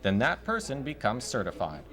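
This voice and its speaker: Male reporter reading scripted investigative narration, medium pitch, highly varied intonation. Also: huge pitch swings, moderate pace, a bit bright. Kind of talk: scripted news documentary narration.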